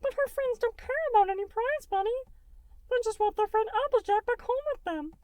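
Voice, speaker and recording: A woman voicing a childlike male cartoon goo creature, high-pitched and rambling with eager enthusiasm. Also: a little fast, darkish tone. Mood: earnest, excited. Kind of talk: breathless rambling cartoon retelling.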